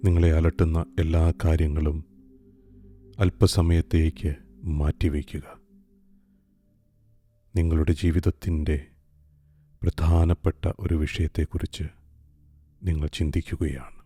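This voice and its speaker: A man guiding a meditation in a calm, soft, hushed way. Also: extremely low pitch, unhurried, long pauses, slightly rough. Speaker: meditation guide. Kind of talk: slow guided meditation. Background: soft music.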